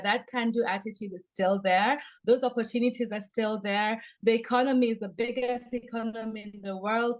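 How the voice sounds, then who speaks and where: A woman with a Kenyan accent, a podcast guest giving earnest, upbeat interview answers. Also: medium pitch.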